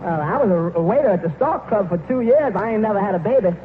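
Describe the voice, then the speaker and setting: An actor playing a skeptical, wisecracking waiter who asks sly, innocent-sounding questions in a 1940s radio comedy. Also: male voice, high-pitched, lively intonation, fast talker, a faint crowd. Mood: sly, needling. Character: skeptical, wisecracking waiter, dryly needling his boss. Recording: noisy tinny old radio, audience behind.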